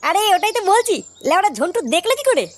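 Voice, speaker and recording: An excitable young cartoon club member with an extremely high voice. The delivery is very quick, brash and slangy, always ready to argue. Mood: brash, argumentative. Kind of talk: crude comic cartoon dub.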